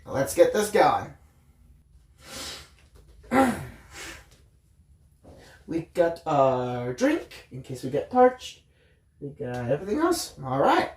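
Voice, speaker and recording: Slow, animated woman storyteller in a roomy space. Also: low voice. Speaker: story time host. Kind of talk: casual chat and expressive storybook reading aloud. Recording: roomy, echoing room sound.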